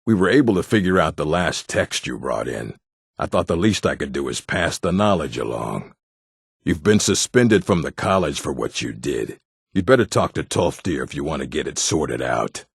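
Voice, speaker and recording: A man voicing a gruff, blunt, weary orc with a gravelly, husky voice for a video game. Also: extremely low pitch, very animated, normal speed, dry recording, clean studio sound, heavily acted. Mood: weary, guarded. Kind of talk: video game character acting.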